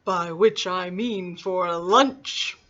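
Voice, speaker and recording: Woman playing a slow, sly, charming storybook wolf. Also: on the high side, big pitch swings, bright, forceful tone, highs cut off, exaggerated voice. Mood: sly menace. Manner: silky, drawn-out, exaggerated politeness.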